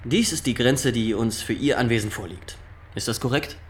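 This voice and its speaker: A man's voice: mid-range voice, moderate pace, a little hiss or hum, natural voice. An actor in a German radio drama playing a stiff, officious young male inspector who questions people formally and insistently. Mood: probing, pedantic. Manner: formal, pedantic, insistent interrogating.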